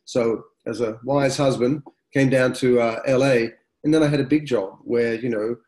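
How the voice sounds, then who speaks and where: A man's voice: medium pitch, rough recording. Stuffy-nosed middle-aged man. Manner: relaxed, conversational, reflective. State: blocked-up nose from a cold. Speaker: webinar host. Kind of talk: casual fireside-style webinar talk.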